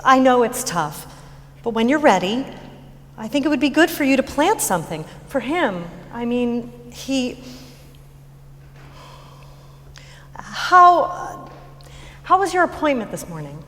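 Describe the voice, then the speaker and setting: A woman plays a gentle, anxious stage mother with a fairly high voice. She coaxes her grieving daughter haltingly and tentatively, recorded in a hall with long reverb. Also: sing-song, heavily acted. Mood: gentle, careful. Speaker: actor in staged reading of musical. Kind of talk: live stage reading acting.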